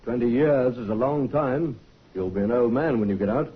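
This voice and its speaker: An actor playing a calm, probing Scotland Yard inspector in a 1950s radio drama, questioning politely and persistently. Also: male voice, medium pitch, normal speed, husky, close to an everyday voice. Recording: dull vintage radio broadcast.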